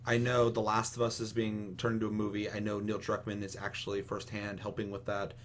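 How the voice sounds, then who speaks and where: A man's voice: on the low side, monotone, brisk. A man chatting casually as a podcast host, giving opinions in an emphatic, rambling way full of profanity. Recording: clearly audible hum and noise.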